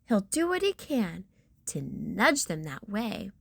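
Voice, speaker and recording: A woman's voice: medium pitch, wildly swooping pitch, slow pace, vocal fry, husky, no echo. A woman reading a rhyming children's picture book aloud with theatrical storyteller emphasis.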